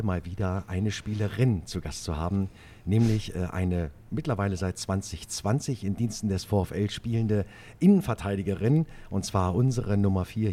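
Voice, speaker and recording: A man hosts a club podcast interview with a mellow, darker tone and an enthusiastic manner. He stumbles and repeats words as he talks, with faint noise in the background. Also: on the low side. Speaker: podcast host.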